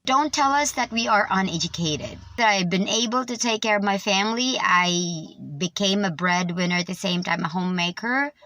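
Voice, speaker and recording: A woman's voice: medium pitch, moderate pace, bright, forceful tone, no room sound, low-quality recording. Earnest woman teacher, dry close sound. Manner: earnest, hurt.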